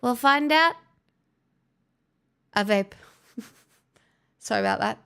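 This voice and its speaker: A woman's voice: fairly high pitch, animated, slow, creaky, bright, forceful tone, clear, resonant tone. A woman hosting a livestream, chatting casually with viewers in a playful, teasing way. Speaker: livestream host.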